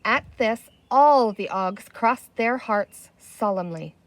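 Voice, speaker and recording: Female children's book narrator: higher-pitched, very animated intonation, close dry sound. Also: takes it slowly, dry recording. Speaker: storybook reader.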